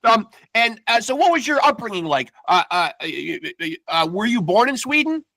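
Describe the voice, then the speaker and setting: A man hosting a podcast interview, talking in an energetic, casual way and calling his guest "man" again and again. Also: high-pitched, moderate pace, vocal fry, dry recording. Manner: energetic, peppers speech with "man". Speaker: podcast host. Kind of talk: casual chat.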